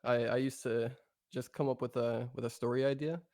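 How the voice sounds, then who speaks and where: A man's voice: medium pitch, fairly even intonation, fairly quick, a little mellow, dry recording, studio quality. A man from Minnesota with a mild Minnesotan accent, co-hosting a casual chat in a laid-back, self-deprecating way. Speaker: podcast co-host.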